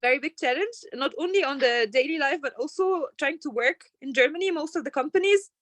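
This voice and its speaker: A woman's voice: high voice, expressive, fairly quick, fairly bright tone, no room sound. A woman from Egypt, hosting an interview, asking long questions and explaining background. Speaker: host. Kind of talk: casual interview.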